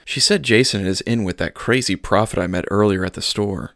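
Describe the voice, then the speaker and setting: A man's voice: lowish voice, a little fast, a bit bright, no echo, studio quality. A man playing an earnest young pastor. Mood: concerned. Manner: earnest, sermonizing.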